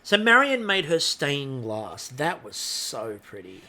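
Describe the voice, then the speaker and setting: A man's voice: mid-range voice, huge pitch swings, sharp tone. A man co-hosting a podcast, casually and jokingly recapping a baking show and steering the talk along. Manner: brisk, jokey, steering the episode along. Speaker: podcast co-host.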